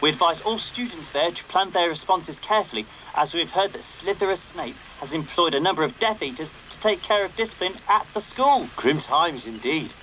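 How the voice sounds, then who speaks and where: Game radio announcer: upbeat man, high forceful voice, wry delivery, slightly noisy wireless sound. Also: lively intonation, brisk, hardly any pauses. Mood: grim, wry. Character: upbeat, wry underground wizard radio presenter. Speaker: radio host. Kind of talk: radio broadcast patter. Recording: faintly noisy radio broadcast.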